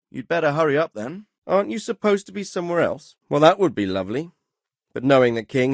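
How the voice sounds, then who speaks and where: An actor playing a stern, dry-witted middle-aged medieval lord in a video game, speaking with formal, measured authority and a wry, sardonic edge. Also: male voice, medium pitch, dry recording, natural voice. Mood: impatient, then wry, skeptical. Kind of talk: video game dialogue acting.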